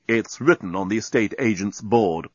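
Man playing a smug, husky alien navigator. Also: fairly low pitch, no room sound, clean studio sound. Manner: slow, deliberate, formal. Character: smug male alien starship navigator, condescending and measured. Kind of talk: audiobook character voice.

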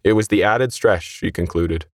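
A man's voice: deep voice, normal speed, dry recording, clean studio sound. A man narrating a scripted short story as its reader.

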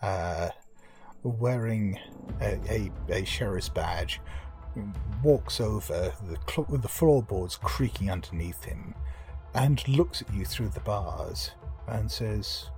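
A man running a tabletop horror game, narrating the scene in a measured, descriptive way. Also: fairly low pitch, vocal fry, darkish tone, soft background music. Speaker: game master.

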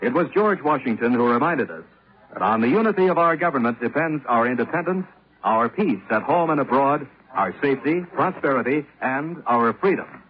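Animated, formal American radio announcer man. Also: mid-range voice, normal speed. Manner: earnest, formal, oratorical delivery. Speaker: public-service announcer. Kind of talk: scripted 1950s radio announcement. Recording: muffled old radio recording.

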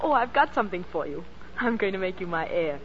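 A woman's voice: lowish voice, sing-song, fast. An actress playing an effusive, teasing young woman who is a sanatorium patient, in 1940s radio drama style. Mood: giddy, confiding. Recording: muffled, noisy 1940s radio broadcast.

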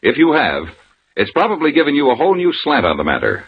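A man's voice: on the high side, huge pitch swings, fast. A man reading a scripted public service announcement as a radio announcer. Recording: muffled old radio recording, hissy.

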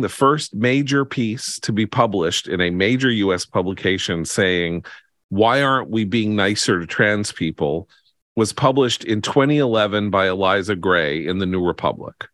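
Deep-voiced American man, dry close sound. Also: a bit bright, no room sound. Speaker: podcast host. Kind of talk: political commentary in conversation.

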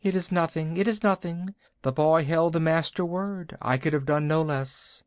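A man voicing a loyal messenger kite bird in a storybook, calling out and reporting briskly. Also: a little high, clean tone, no echo, clean studio sound, voice pushed up, close to an everyday voice. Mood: modest.

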